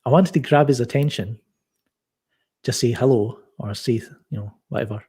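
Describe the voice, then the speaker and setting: Guest: soft-spoken man, mellow husky tone, halting casual storytelling. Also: mid-range voice. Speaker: podcast guest. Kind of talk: casual chat.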